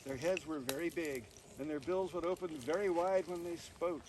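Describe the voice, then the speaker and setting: A man reading his storybook aloud to listeners outdoors, with expressive telling and chatty asides. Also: on the high side, normal speed. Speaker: author reading from own book. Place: outdoors on a mountain. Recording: distant mic, noisy outdoor recording.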